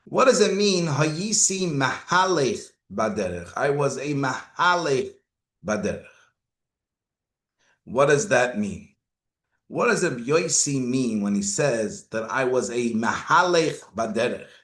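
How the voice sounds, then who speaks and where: Animated rabbi teaching in an echoey room. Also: male voice, medium pitch, measured pace. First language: not Hebrew. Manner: rhetorical questions, Hebrew and Yiddish terms. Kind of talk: Torah lecture.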